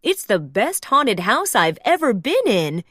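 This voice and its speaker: Storybook part for a woman: simple, amiable cartoon fellow who spooks easily, goofy and animated. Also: on the high side, a little slow, no room sound, clean studio sound, full character voice. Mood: amiable, admiring.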